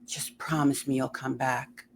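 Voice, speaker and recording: A woman's voice: a bass voice, little pitch movement, measured pace, vocal fry, voice pushed down, full character voice. Woman as young boy, anxious, pleading, horror storybook, faint noise. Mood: worried pleading.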